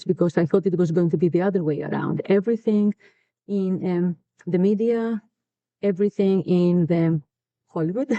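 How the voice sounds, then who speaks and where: A middle-aged woman from Greece with a Greek accent, talking earnestly and thoughtfully in a casual podcast chat, often pausing with "um.". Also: on the low side, warm tone, dry recording, muffled recording. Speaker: co-host. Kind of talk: casual conversation.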